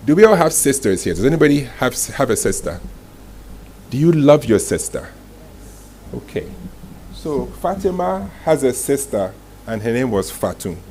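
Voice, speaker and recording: A man's voice: medium pitch, sing-song, moderate pace, a little mellow. A man presenting a motivational masterclass to a live audience, telling stories emphatically in short dramatic phrases with rhetorical questions. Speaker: masterclass speaker, communications executive. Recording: clearly noisy live room recording.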